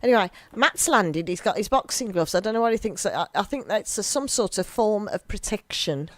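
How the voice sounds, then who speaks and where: An English woman hosting a local radio show, chatting casually and giggling, with a slightly gravelly voice tonight. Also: mid-range voice, lively intonation, moderate pace, a bit bright, no room sound. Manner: chatty, giggly, talks over music. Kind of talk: casual chat. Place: small local radio studio.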